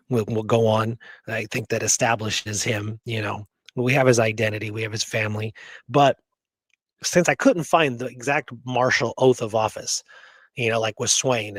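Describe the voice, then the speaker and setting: A man's voice: medium pitch, a bit bright, dry recording. A man hosting a history show, talking through research casually and emphatically, repeating points for stress.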